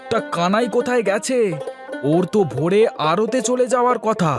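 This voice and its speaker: A man playing a middle-aged village vegetable trader and elder brother in a cartoon, chatty and complaining with drawn-out interjections. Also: high voice, music in the background, natural voice. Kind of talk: cartoon dialogue acting.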